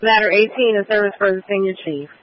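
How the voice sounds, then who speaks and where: High-voiced male fire dispatcher on radio. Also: fast talker, background noise. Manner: repeats back each unit's message in formal radio phrasing. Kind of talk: clipped radio dispatch procedure. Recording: muffled radio transmission.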